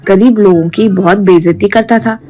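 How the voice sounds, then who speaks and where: A woman's voice: mid-range voice, steady tone, moderate pace, warm tone, soft background music. A woman reading scripted narration for a simple moral tale, as a storyteller.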